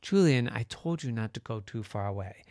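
A man's voice: mid-range voice, animated, normal speed, darkish tone, dry recording, clean studio sound, close to an everyday voice. A man voicing a worried, fussing mother of a small boy in a children's story. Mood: worried, scolding.

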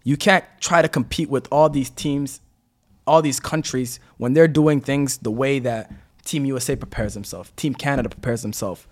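A man in casual podcast talk, making his point forcefully with rhetorical questions. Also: medium pitch, moderate pace. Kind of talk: casual chat, arguing a point.

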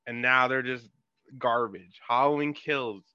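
Bright, crisp joking man on dull audio. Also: mid-range voice, unhurried. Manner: joking, laughs between remarks. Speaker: podcast co-host. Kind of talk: casual chat. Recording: dull lo-fi sound.

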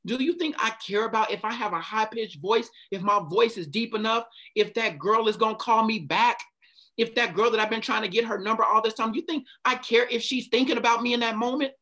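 A man's voice: high-pitched, brisk, pushed and bright. A man giving a passionate motivational talk, stopping often to ask his listeners questions. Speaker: speaker leading a group talk.

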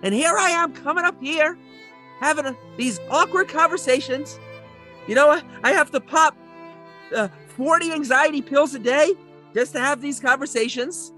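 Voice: high-pitched, moderate pace, pushed and bright, faint music behind. A performer playing an aggrieved male landlord in a comedic audio play, rambling awkwardly in a whiny, exasperated way.

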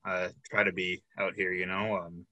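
Young guy hosting a chill band podcast, lowish voice but bright, lots of ums, super dry sound. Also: level tone, moderate pace, dry recording, lo-fi sound. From south suburbs of Chicago. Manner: rambling, lots of fillers. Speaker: podcast host. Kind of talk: casual interview.

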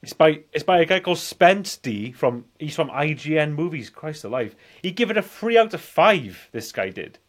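Higher-pitched, rambling man. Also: big pitch swings, moderate pace, few pauses. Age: 40s. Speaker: podcast co-host. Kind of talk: casual film-review banter.

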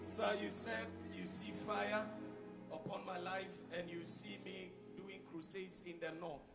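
A man's voice: high-pitched, little pitch movement, unhurried, creaky, over loud music. A man, a pastor, earnestly giving a testimony in church. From Ghana. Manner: earnest, recounting a story.

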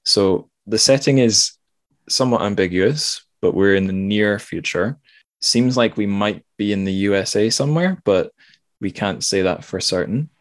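A man's voice: low-pitched, no room sound. A man hosting a podcast, discussing books casually in informal, self-interrupting phrases. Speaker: podcast host.

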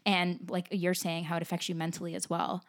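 A woman's voice: on the low side, level tone, a little fast, vocal fry. A woman co-hosting a podcast, upbeat and chatty, using lots of "like" fillers. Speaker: podcast co-host. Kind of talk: casual podcast chat.

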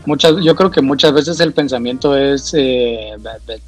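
A man with a Guatemalan accent hosting a conversational interview, feeling his way haltingly through questions and repeating words. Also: medium pitch, faint music behind. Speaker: host, nutritionist.

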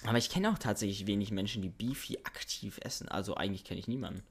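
A man's voice: fairly low pitch, quick pace, slightly rough. Slangy young man chatting loosely. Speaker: podcast co-host. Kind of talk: casual chat with youth slang. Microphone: home microphone over internet call.

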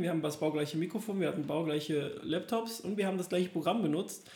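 A man's voice: high voice, a little mellow. A man chatting casually as a podcast co-host, recorded in a roomy, echoing space. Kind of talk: casual chat. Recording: roomy echoing room sound.

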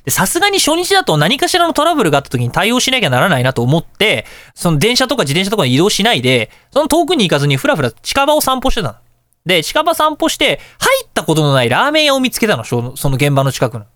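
A man hosting a radio show, telling anecdotes in a casual, chatty, animated way. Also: high voice, fast, no echo, clean studio sound. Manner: animated, chatty storytelling with colloquial asides.

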